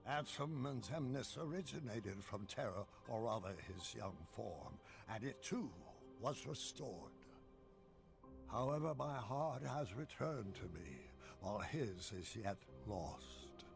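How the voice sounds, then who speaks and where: An actor playing an aged, solemn villain mastermind in a video game, speaking in a deliberate, grandiose way. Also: male voice, lowish voice, gravelly, full character voice. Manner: slow, deliberate, portentous. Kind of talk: dramatic video game cutscene acting.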